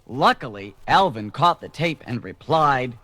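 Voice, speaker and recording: Animated male children's storyteller. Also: mid-range voice, measured pace. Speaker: narrator. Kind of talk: scripted children's story narration.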